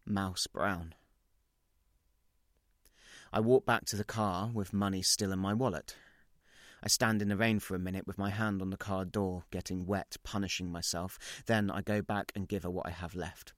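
A man's voice: low voice, fairly even intonation, moderate pace, no room sound, clean studio sound, natural voice. A man reading a dramatic first-person story as a guilt-ridden, unravelling, well-off narrator, confessional and intense in short clipped phrases. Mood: brooding, guilty.

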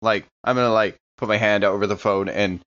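A man playing a tabletop role-playing game, improvising casually and haltingly. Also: lowish voice, fairly even intonation, a bit bright, dull audio. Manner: hesitant, halting. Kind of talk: improvised casual in-character play.

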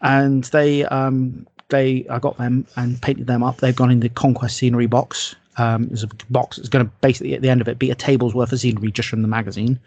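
A man co-hosting a podcast in casual hobby chat, speaking in a halting, rambling way and repeating his phrases. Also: mid-range voice, level tone, darkish tone. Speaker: podcast co-host.

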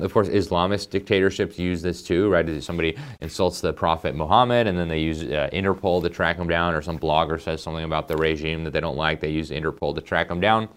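A man's voice: deep voice, clean tone. A man hosting a political commentary show, talking emphatically and conversationally, with fillers and asides. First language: English. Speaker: host.

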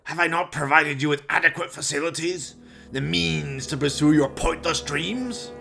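A man's voice: fairly high pitch, gravelly, bright, forceful tone, pitched above the performer's own voice, heavily acted. A man voicing a menacing, contemptuous evil master in a comic horror radio play, with haughty, pompous delivery. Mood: angry. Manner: slow, haughty, pompous threats.

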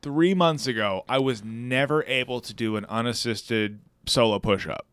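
A man's voice: medium pitch, normal speed, a bit bright, clear, resonant tone, dry recording. A man co-hosting a podcast, joking and swearing casually in loose banter, with self-deprecating humor. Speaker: podcast co-host.

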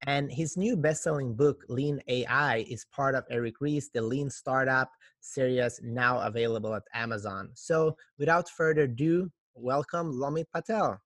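A man's voice: mid-range voice, moderate pace, few pauses, a bit bright, dry recording. A man hosting a podcast, upbeat in introductions and stumbling over his questions with "like". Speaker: podcast host.

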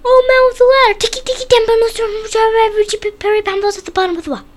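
Frantic small boy for a storybook, played by a woman: very high, urgent, breathless. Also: fairly quick, fairly bright tone. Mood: desperate shouting. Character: frantic little boy crying out for help.

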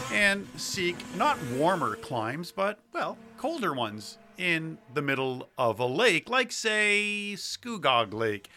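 A man's voice: mid-range voice, sharp tone. A man hosting a podcast, interviewing and presenting in a conversational style.

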